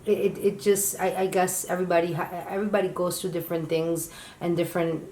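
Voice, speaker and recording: A woman co-hosting a casual chat, halting as she speaks, often restarting her sentences while she searches for words. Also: lowish voice, level tone, mellow, roomy sound, a little hiss or hum. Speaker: co-host.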